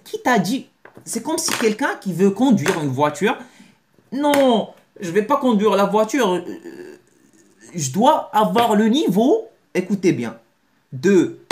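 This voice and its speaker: Animated man talking to camera. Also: on the high side. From Morocco. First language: Moroccan Arabic. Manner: animated, blunt, slangy. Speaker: vlogger. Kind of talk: casual monologue to camera.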